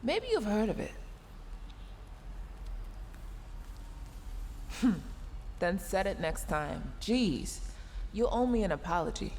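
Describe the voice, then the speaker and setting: A woman's voice: mid-range voice, animated, normal speed, darkish tone, long reverb tail, a little hiss or hum, natural voice. A woman voicing a tsundere mech engineer in a sci-fi audio roleplay, sarcastic and exasperated, scolding while secretly caring. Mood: sarcastic, annoyed. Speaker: roleplay voice performer.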